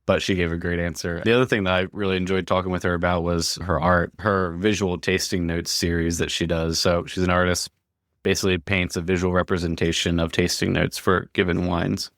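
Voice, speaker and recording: A man's voice: low-pitched, little pitch movement, a little fast, a bit bright, no room sound, studio quality. A man co-hosting a podcast, talking in a casual conversational style. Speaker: podcast co-host.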